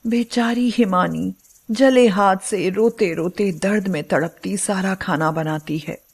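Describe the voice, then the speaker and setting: Woman narrator telling a story, slow pace, mid voice. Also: mid-range voice, a little mellow, slightly rough.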